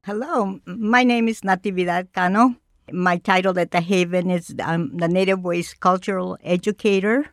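A middle-aged woman from Mexico speaking as a cultural educator in a radio interview, measured with frequent pauses. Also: lowish voice, steady tone, soft background music.

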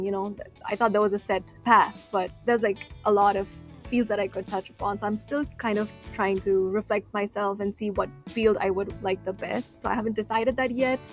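A young woman from Nepal whose first language is Nepali, a PhD student explaining her research in a radio interview, with frequent fillers. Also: medium pitch. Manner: explains science slowly with fillers and hedges.

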